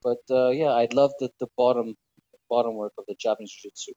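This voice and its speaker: Podcast guest, man from Greece, mellow voice, relaxed and hesitant, dry lo-fi sound. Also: mid-range voice, fast, no echo. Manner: relaxed, hesitant with many fillers. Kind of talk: casual interview chat.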